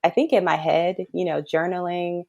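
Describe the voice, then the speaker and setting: Soft-pitched woman doctor explaining. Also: fairly even intonation, normal speed, gravelly, highs cut off. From Chicago south suburbs, US. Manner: thoughtful, earnest, laughs often. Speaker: guest, pediatric kidney doctor. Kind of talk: casual interview talk.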